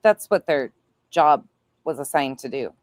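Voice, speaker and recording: A woman's voice: deep voice, lively intonation, a little slow, darkish tone, no room sound. A woman giving casual reaction commentary in an emphatic, colloquial way.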